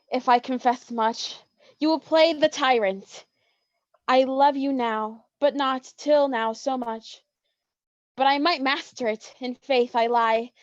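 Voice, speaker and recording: A woman's voice: sits high, dry recording, lo-fi sound. An actress playing a coy, lovestruck young woman confessing her feelings in earnest, theatrical Shakespearean verse. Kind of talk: Shakespearean staged reading.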